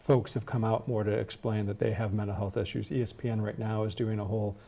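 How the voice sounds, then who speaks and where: Man, expert interview guest, lowish pitch, dark mellow tone, measured, deliberate, explanatory. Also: flat delivery, creaky. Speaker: interview guest, healthcare executive. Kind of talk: explanatory advocacy in conversation.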